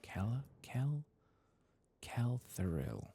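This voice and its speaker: A man softly commenting on his gameplay in half-whispered, mumbling asides, his voice lower than usual after singing, close to the microphone. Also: wildly swooping pitch, drawn-out words, a little hiss or hum. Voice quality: very deep, gravelly and husky. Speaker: ASMR gaming channel host. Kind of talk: soft-spoken gameplay commentary.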